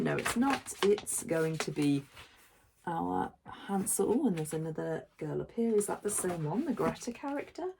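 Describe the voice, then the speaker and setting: A woman's voice: lowish voice, darkish tone, a little hiss or hum. A woman giving casual unboxing commentary as a book vlogger, gushing and thinking aloud as she goes.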